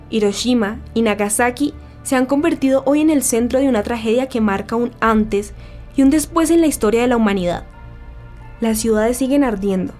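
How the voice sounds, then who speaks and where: A grave newsreader in a 1940s radio drama , acted by a woman, with a fairly high voice. The delivery is formal, scripted and dramatic, with faint music behind it. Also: a little mellow, lightly acted. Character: solemn 1940s radio newsreader, a woman, reporting war's end. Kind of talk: scripted radio news narration.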